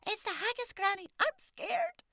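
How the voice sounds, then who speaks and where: A woman's voice: extremely high pitch, very animated, fairly quick, no room sound, studio quality, voice pushed up. A woman voicing a scared, eager little girl in a storybook. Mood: frightened.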